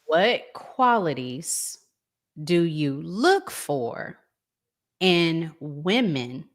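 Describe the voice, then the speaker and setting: A woman with a slightly low voice hosts in a casual, chatty style. She takes her time over her words, and her pitch moves in a very animated way as she poses questions. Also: very slow. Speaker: podcast host. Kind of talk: casual chat, asking questions.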